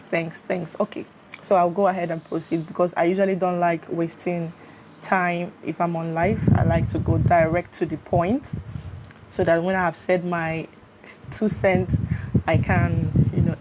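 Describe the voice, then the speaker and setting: A Nigerian woman with a slightly low voice hosts a casual live stream from her phone, greeting viewers and checking that they can hear her. A fan hums steadily behind her. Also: heavy background noise. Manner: chatty, often greets viewers and checks the sound.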